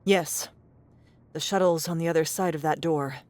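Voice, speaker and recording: A woman's voice: low-pitched, steady tone, normal speed, darkish tone, lightly acted. A woman voicing a terse, knowledgeable, cautious young woman in an audiobook drama, matter-of-fact and clipped.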